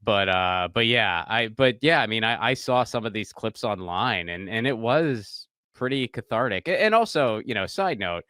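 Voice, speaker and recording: A man with a lowish, bright and crisp voice chats casually, stammering over his words and laughing at himself. The sound is close and dry, with no room around it. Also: lively intonation, moderate pace, few pauses, no room sound. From Pittsburgh. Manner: self-deprecating, stammering, laughs often. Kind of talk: casual chat.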